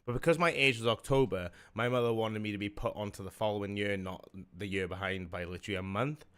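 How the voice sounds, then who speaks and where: A man's voice: on the low side, fast talker, fairly bright tone, smooth. A young man with a South Welsh accent, hosting a live stream and chatting in a rambling way, swearing and restarting often. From South Wales. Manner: rambling, swears a lot, many fillers and restarts. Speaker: stream host. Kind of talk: casual chat on a live stream.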